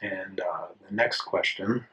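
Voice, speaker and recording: A man in his twenties talks as he sketches, his voice low but bright and forward. He rambles, restarts and corrects himself as he works through his thoughts. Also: takes it slowly, a little hiss or hum, low-quality recording. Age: 20s. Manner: rambling, self-correcting, thinking aloud. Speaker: art channel host. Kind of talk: casual talk while drawing. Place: indoors at a desk, drawing in a sketchbook.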